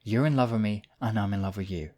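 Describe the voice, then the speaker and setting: A man's voice: lowish voice, fast talker, a little mellow, close to an everyday voice. A man playing a smug, obsessive demon king in a theatrical audio roleplay, silky and possessive in his wooing. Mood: insistent, possessive. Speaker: voice actor. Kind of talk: theatrical roleplay monologue, ASMR audio drama.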